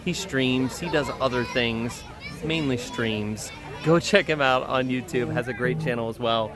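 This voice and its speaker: A man with a slightly hoarse voice chats casually to viewers and friends as he hosts a live stream. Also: mid-range voice, a little slow, music in the background, a crowd in the background. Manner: chatty, addresses viewers and friends. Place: outdoors at a busy theme park.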